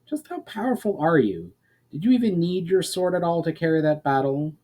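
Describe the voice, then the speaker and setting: A man's voice: on the high side, very animated, brisk, mellow, voice pushed up. A man voicing an inquisitive warrior lady. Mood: curious. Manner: formal, inquisitive. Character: female warrior, curious, questioning, sometimes sarcastic.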